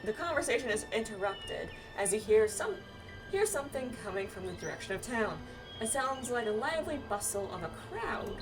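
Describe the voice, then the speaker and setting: A woman's voice: fairly high pitch, animated, fairly quick, low-quality recording. A woman chatting casually through a game playthrough, reading the on-screen text aloud and stumbling now and then. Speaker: game streamer doing a playthrough. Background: game music.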